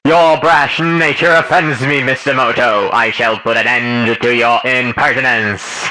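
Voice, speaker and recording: A man's voice: medium pitch, fairly even intonation, unhurried, sharp tone, rough recording. A man playing a haughty, pompous rich villain with formal speech in a parody anime dub. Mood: haughty, indignant.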